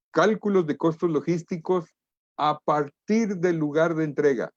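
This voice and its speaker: A middle-aged man with a Guatemalan accent giving an explanatory webinar lecture in a deliberate, emphatic manner. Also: a little high, darkish tone, no echo, highs cut off. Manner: deliberate, emphatic, rhetorical questions. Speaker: international trade lecturer and expert.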